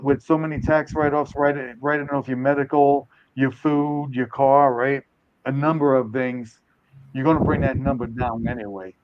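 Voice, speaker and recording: A man's voice: medium pitch, dry recording, lo-fi sound. Older man rambling on a live stream. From United States. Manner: rambling, hedging, self-correcting. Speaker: host. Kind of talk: casual live stream talk.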